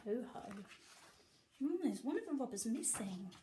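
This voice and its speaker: A woman reads a picture book to children in a fairly high voice. Her delivery swings widely in pitch, animated and emphatic, with a sing-song lilt on the rhymes. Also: moderate pace, slight background noise. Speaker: storyteller reading to children. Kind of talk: expressive picture book read-aloud.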